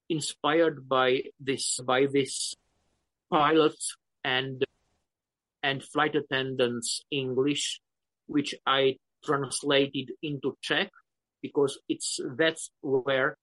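A man in his fifties with a Romanian accent speaks at an unhurried, measured pace, stopping often mid-phrase to find his words. His voice sits at a middle pitch. The sound is dry and close, with no room behind him. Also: flat delivery, fairly bright tone, no echo, poor audio. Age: 50s. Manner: slow, halting, searching for words. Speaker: poet guest. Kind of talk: interview answers.

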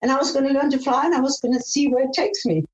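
A woman's voice: high-pitched, fast, echoey room, low-quality recording. An older woman from Namibia telling her life story as an interview guest, enthusiastic and often restarting her phrases. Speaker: guest, former airline pilot.

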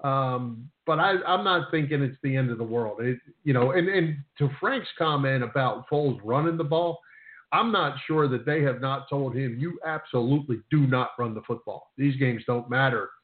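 A man's voice: mid-range voice, moderate pace. Man on roomy, phone-like sports radio. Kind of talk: casual sports discussion. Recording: roomy, thin phone-like sound.

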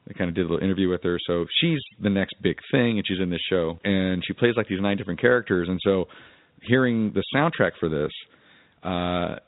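A man's voice: deep voice, a little fast, no echo, narrow, tinny audio. A man co-hosting a podcast in casual, informal conversation. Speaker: podcast co-host. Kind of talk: casual chat.

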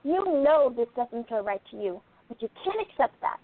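A woman acting in a radio play as a perceptive, gently persistent sleuth with a thoughtful, probing delivery. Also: a little high, very animated, normal speed. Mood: probing, sympathetic. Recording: tinny, phone-like narrow-band audio.

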